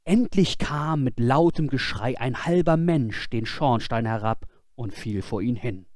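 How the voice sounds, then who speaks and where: Man, fairy-tale narrator, expressive, slow delivery, very varied intonation, dry sound. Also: medium pitch, no room sound. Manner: expressive, dramatic storytelling. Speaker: audiobook reader.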